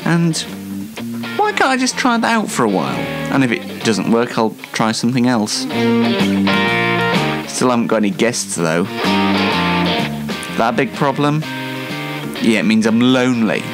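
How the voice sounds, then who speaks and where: A male radio host talks solo in a casual, rambling style. His medium-pitched voice swings up and down in a lively way, with a whimsical, self-mocking manner. Prominent plucked guitar music plays underneath. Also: measured pace, long pauses. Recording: music bed behind the voice. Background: loud plucked guitar music bed.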